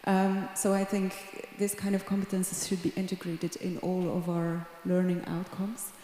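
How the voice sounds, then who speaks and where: A woman answering on a conference panel in a calm, quiet delivery. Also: lowish voice, normal speed, slight background noise. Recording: echoey conference hall.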